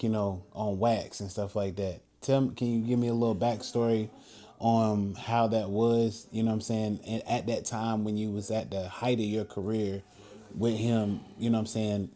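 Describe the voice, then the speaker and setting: A man's voice: fairly low pitch, fairly even intonation, normal speed, warm tone. A man hosting a podcast interview in a casual, easygoing way, often laughing and filling with stock phrases. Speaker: podcast host.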